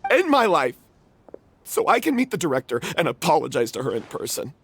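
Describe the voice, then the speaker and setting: A guilt-ridden troupe member. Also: male voice, on the high side, wildly swooping pitch, a little fast, fairly bright tone, slight background noise, full character voice. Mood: anguished. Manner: confessional, growing desperate self-blame. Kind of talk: video game voice acting.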